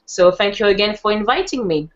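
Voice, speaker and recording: A woman's voice: medium pitch, expressive, fairly quick. Chatty French-accented woman on a video call. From France. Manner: chatty, laughs easily, many fillers and restarts. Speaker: webinar presenter. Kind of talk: online presentation talk. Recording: muffled online call audio.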